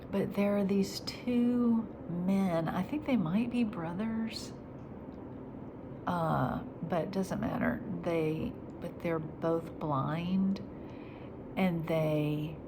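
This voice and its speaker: A woman talking in a reflective faith vlog, soft and halting, her voice nasal after crying. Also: low-pitched. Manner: soft and halting, slipping into whispers. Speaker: vlogger. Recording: steady background noise.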